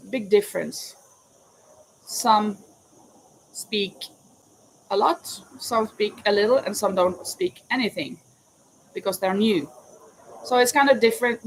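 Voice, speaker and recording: A woman's voice: medium pitch, slight background noise, low-quality recording. A woman with a Norwegian accent chatting casually and rambling a little while crocheting live, answering viewers' questions. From Norway. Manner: chatty, rambling, self-correcting. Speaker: crochet vlogger and teacher. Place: home in Spain, crickets outside.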